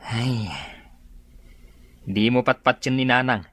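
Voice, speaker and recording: A man playing a tipsy, slow-witted village drunkard with a slurred drawl in an Ilocano radio comedy drama. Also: mid-range voice, steady tone, a bit bright, no echo. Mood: puzzled, protesting.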